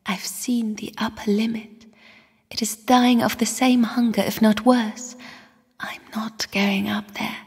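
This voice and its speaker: An actress playing a mysterious, sorrowful young spirit in a video game, speaking in a hushed, solemn, airy whisper. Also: female voice, fairly high pitch, steady tone, slightly rough, full character voice. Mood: solemn warning. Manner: slow, hushed, solemn delivery. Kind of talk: video game character voice acting. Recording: long cavernous reverb.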